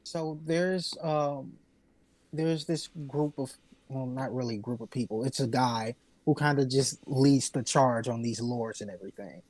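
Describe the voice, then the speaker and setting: A man talks in a casual podcast discussion, laying out game lore in long, earnest turns. His pitch sits in the middle range for a man, and the sound is dry, with very little room in it. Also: a little slow, a little mellow, no echo, rough recording. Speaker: podcast panelist.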